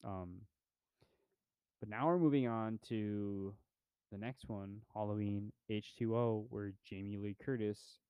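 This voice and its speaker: A man's voice: lowish voice, fairly even intonation, takes it slowly, smooth, dry recording, dull audio. A young man co-hosting a podcast, chatting casually about movies in a laid-back, rambling way with lots of fillers. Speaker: podcast co-host. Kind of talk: casual movie review chat.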